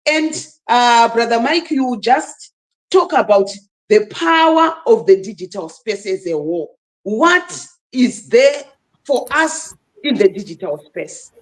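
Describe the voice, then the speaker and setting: A woman's voice: on the high side, animated, slow pace, poor audio. A woman from Zimbabwe hosting a live stream panel, speaking emphatically and urging the listeners on. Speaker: livestream host. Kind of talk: casual live stream talk.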